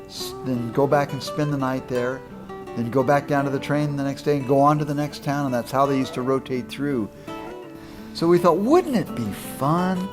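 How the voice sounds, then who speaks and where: A man being interviewed, telling stories in a casual, conversational style. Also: fairly high pitch. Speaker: interviewee, co-producer of a radio show.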